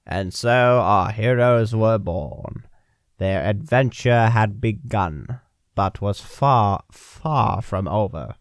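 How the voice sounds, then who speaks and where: A man playing a solemn, grand storybook narrator of a fantasy tale, measured and scripted. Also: fairly low pitch, clear, resonant tone, dry recording, clean studio sound.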